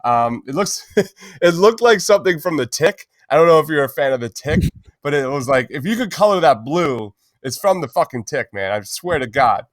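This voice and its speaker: A man's voice: on the high side, lively intonation, normal speed, dry recording. A man hosting a casual pop-culture podcast, talking informally and enthusiastically with plenty of slang. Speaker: podcast host.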